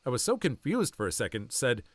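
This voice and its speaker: Male story narrator, mid-pitched, animated intonation, dry close sound. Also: fairly quick, dry recording. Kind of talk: reading online posts aloud.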